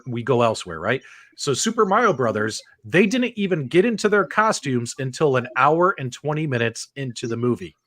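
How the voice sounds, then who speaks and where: A middle-aged man hosting a casual live panel chat, talking emphatically and often checking in with tag questions. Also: medium pitch. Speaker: live stream host.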